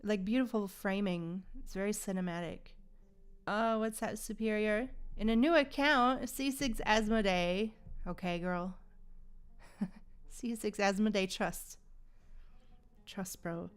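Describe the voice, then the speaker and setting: A woman's voice: mid-range voice, steady tone, takes it slowly, smooth. A woman livestreaming a game, chatting casually and musing aloud in an informal, slangy way. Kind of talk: casual live stream chat and commentary.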